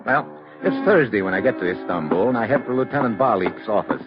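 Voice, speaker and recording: An actor playing a tough, wisecracking American government agent in a wry, slangy old radio detective style. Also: male voice, on the low side, expressive, fast, natural voice. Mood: wry narrating. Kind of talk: 1950s radio detective acting with first-person narration. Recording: dull vintage radio recording, faint music.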